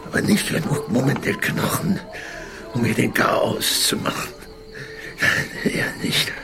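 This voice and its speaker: A vicious rogue on his deathbed in a radio play. His gravelly voice drags slowly through feverish curses, gasping and sneering. Music plays underneath. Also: mid-range voice, sing-song, full character voice. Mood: bitter, gloating. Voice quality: gravelly, breathy gasping delivery. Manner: halting, cursing, contemptuous. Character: bitter dying villain, sneering vicious rogue adventurer.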